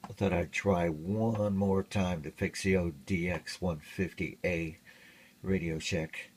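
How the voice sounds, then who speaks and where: A man's voice: sits low, steady tone, slow, darkish tone, breathy edge. An older man talking in a casual, folksy, plainspoken way as he shows off a radio he repaired. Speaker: radio hobbyist. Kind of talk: casual show-and-tell.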